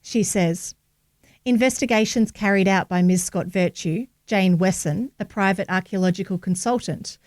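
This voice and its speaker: A woman's voice: medium pitch, darkish tone, dry recording. Woman reading a news article, dry sound. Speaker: reader of a local newspaper article. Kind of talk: scripted narration, read aloud.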